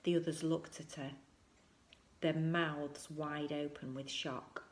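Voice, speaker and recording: A woman's voice: deep voice, level tone, slow. A woman narrating a children's story aloud and acting out the dialogue between characters. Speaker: teacher reading aloud.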